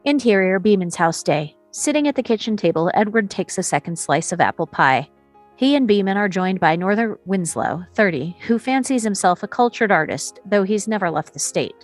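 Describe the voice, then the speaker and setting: A woman's voice: medium pitch, lively intonation, normal speed, faint music behind. A woman narrator reading screenplay action and scene descriptions at a table read. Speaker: narrator reading stage directions.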